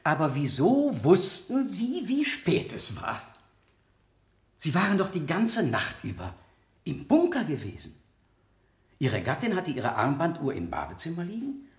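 Male examiner, radio drama. Methodical, insistent, courteous, with animated pitch in a roomy acoustic. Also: exaggerated voice. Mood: insistent, pressing. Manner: deliberate, insistent, formally polite questioning. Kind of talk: 1970 German radio play acting.

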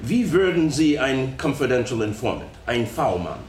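A man's voice: medium pitch, big pitch swings, measured pace, a little mellow. An actor voicing a male courtroom questioner who asks formal, probing questions in a documentary reenactment. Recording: echoey room with faint noise.

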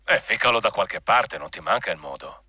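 An actor playing a jovial, conniving personnel director and old crony, jocular and wheedling, in a radio drama. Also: male voice, on the low side, lively intonation, fairly quick, bright, forceful tone, husky, no echo, clean studio sound, full character voice. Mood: wheedling, pushy.

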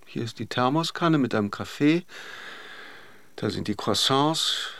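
An actor playing a wry, attentive lover in a German radio drama, understated and a little hesitant. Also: male voice, medium pitch, expressive, unhurried, gravelly, fairly bright tone, no room sound. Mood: caring, teasing. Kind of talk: German radio drama acting, with reflective narration.

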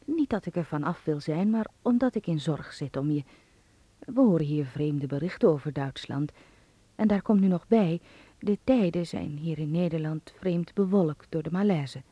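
An actress playing a caring, anxious older relative reading out a letter in a radio drama, gently and in a dark, husky voice. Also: female voice, sits low, moderate pace, no room sound, dull audio. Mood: worried, tender.

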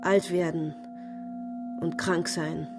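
A woman's voice: on the low side, flat delivery, takes it slowly, music in the background. An actress in a radio drama playing a disturbed, imperious, spiteful woman who insists she is her alter ego. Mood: spiteful, confiding. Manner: haughty, agitated, snapping.

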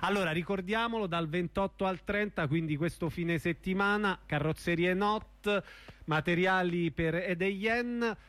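A man's voice: high-pitched, a little slow, fairly bright tone. A man hosting an informal live radio interview.

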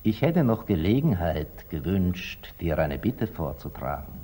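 A wise, dignified merchant of middle age in an old 1940s radio play. The voice is low and husky, declaiming slowly and with great solemnity, the intonation rising and falling widely. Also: male voice, very animated, mellow, exaggerated voice. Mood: careful, polite. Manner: measured, solemn, declamatory stage delivery. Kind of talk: 1940s German radio drama acting. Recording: aged 1940s radio recording.

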